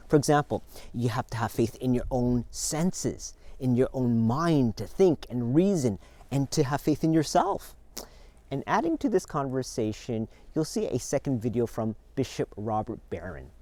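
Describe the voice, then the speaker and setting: A man hosting a church video, speaking earnestly and in a measured way to his congregation. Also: mid-range voice, dark tone, dry recording.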